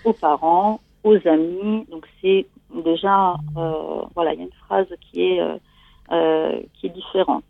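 A woman's voice: fairly low pitch, fairly even intonation, measured pace, creaky, no room sound, narrow, tinny audio. A woman in her forties from Italy, speaking with an Italian accent in a hesitant, conversational way as she shares something personal. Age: 40s. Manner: hesitant, searching for words. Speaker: guest seeking coaching.

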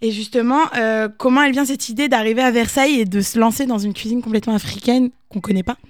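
Bright dry-voiced radio co-host woman. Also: on the high side, steady tone, quick pace, no echo. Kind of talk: radio interview.

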